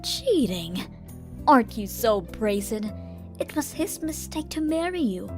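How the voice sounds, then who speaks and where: A woman's voice: a little high, big pitch swings, measured pace. A woman voicing a brazen, taunting sister in a manga dub. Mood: smug, taunting. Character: brazen, smug younger-adult woman, scheming husband-stealing sister. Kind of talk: manga dub dialogue acting. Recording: music bed under the voice.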